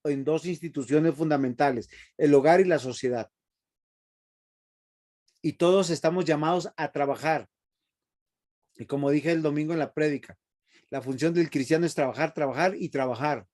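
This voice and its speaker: A man teaches a Bible class in a classroom, his voice sitting a little high for a man. He lectures in a preachy tone and keeps asking the students if they are following. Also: normal speed, darkish tone. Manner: preachy, asks the class questions.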